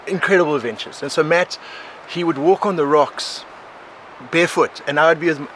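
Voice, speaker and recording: A South African man in his forties, a podcast guest, telling his life story in a rambling, animated way, often laughing. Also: fairly high pitch, a little fast, rough recording. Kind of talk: casual conversational storytelling. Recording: outdoor recording with clear background noise.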